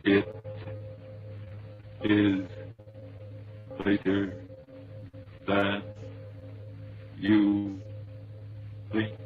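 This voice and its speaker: Extremely slow, monotone voice of doom. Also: male voice, on the low side. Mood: ominous. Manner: intoning word by word, monotone. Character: ominous, slow-intoning male voice of doom. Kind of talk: 1930s radio horror opening. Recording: tinny 1930s radio recording, music behind.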